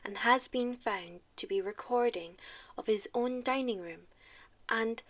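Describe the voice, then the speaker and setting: A young woman reading formal, deliberate scripted narration for an audio drama, like an official report. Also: fairly high pitch.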